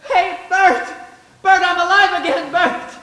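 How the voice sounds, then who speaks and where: A man's voice: very high voice, steady tone, a little slow, sharp tone, heavily acted. A man playing an overwrought, giddy family man in a live radio play, exclamatory and stammering, on a stage. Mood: giddy elation. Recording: echoey stage, faint music behind.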